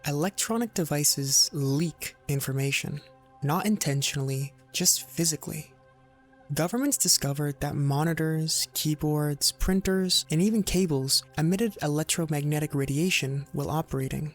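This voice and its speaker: A man reading scripted documentary narration in a measured, explanatory way, with short dramatic phrases. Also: on the high side. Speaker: video narrator. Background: background music.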